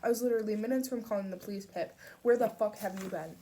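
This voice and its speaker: A woman's voice: mid-range voice, moderate pace, darkish tone, slight background noise, lightly acted. Woman voicing an angry, worried young man.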